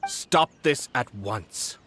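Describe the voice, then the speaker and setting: A man playing a composed, courteous young nobleman in a game, speaking in a measured, formal and calmly authoritative way. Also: mid-range voice, loud music behind the voice. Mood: calm, commanding. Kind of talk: English game dub acting.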